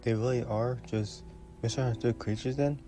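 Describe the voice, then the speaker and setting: Guy acting as a soft mellow young prince, shy n polite, fantasy romance roleplay, music behind him. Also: mid-range voice, fairly even intonation, normal speed, close to an everyday voice. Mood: polite, curious. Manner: formal, polite, apologetic, hesitant. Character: young prince, courteous, gentle, shyly curious royal.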